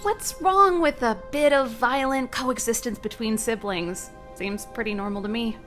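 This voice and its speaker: A woman's voice: on the high side, measured pace, a bit bright. A spirited ex-army game heroine, playful n a bit theatrical, music behind her. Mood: teasing. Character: spirited, teasing woman ex-soldier with a showy streak. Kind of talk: video game character acting.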